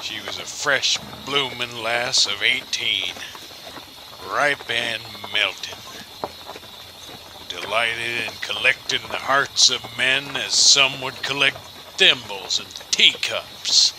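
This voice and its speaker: A man playing a folksy, wry storyteller guide, narrating an audio drama in a theatrical, deliberate manner. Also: mid-range voice, noisy background, close to an everyday voice. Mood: wry, teasing.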